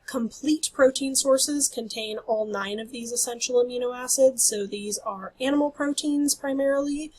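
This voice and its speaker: Husky woman lecturing, losing her voice. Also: on the high side, normal speed, few pauses, darkish tone. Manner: explanatory, apologetic about her voice. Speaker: instructor. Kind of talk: recorded lecture over slides.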